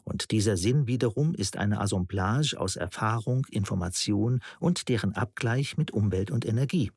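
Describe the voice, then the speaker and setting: A man's voice: lowish voice, animated, normal speed, darkish tone, slightly rough, no echo, clean studio sound. An actor playing an earnest male theorist who lectures precisely and didactically in a German radio play. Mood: earnest, didactic.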